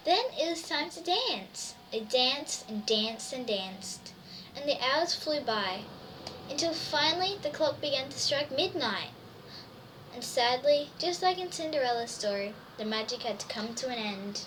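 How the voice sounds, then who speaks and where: A woman's voice: sits high, expressive, a little slow. A woman reading a children's storybook aloud expressively, full of wonder and exclamation, addressing young listeners. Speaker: storybook reader. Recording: distant mic, faint background noise.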